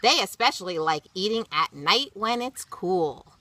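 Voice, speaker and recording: A woman's voice: medium pitch, expressive, unhurried, pushed and bright, no room sound. A woman voicing a patient storybook grandmother who loves worms, explaining things with emphasis. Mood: patient, instructive. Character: grandmother, patient garden teacher fond of worms.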